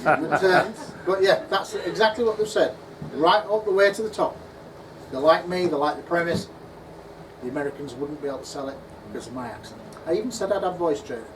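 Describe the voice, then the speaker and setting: A man giving an animated conference talk in a pub function room, acting out imagined voices as he goes. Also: fairly high pitch, brisk. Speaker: conference speaker. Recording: distant voice with faint room noise.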